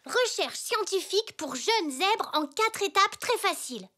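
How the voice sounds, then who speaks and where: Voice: very high-pitched, expressive, measured pace, bright, forceful tone, heavily acted. A performer voicing an eager, curious young zebra boy who talks earnestly in a children's cartoon. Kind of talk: children's cartoon dub acting.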